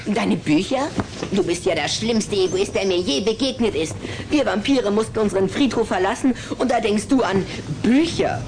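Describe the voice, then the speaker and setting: Eine Frau spricht in einem Kinderhörspiel einen frechen, herrischen kleinen Vampirjungen, der gern neckt und prahlt. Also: female voice, medium pitch, normal speed, noisy background, lightly acted. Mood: teasing, indignant. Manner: teasing, commanding, exclamatory. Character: cheeky, bossy little boy vampire, teasing and boastful. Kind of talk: German radio play acting for children.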